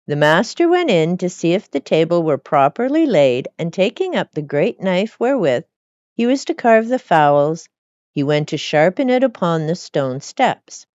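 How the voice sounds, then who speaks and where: Female storyteller, low register, animated storybook delivery, dry lo-fi sound. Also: dry recording. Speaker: storyteller reading fairy tales. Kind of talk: expressive storybook reading with casual asides.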